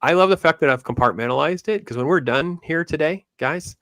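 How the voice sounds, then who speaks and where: A man's voice: on the high side, fairly quick, a bit bright, dry recording, studio quality. A middle-aged man hosting a casual livestream chat, rambling conversationally in short, clipped sentences. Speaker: host of an online Star Wars fan show. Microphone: online call on a livestream, camera off.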